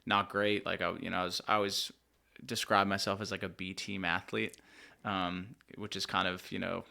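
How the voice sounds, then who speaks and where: Laid-back young man with a gravelly low voice. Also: little pitch movement, moderate pace, pushed and bright. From Pennsylvania. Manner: laid-back, rambling, heavy on fillers like "like" and "dude". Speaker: musician guest. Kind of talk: casual interview chat. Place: podcast studio.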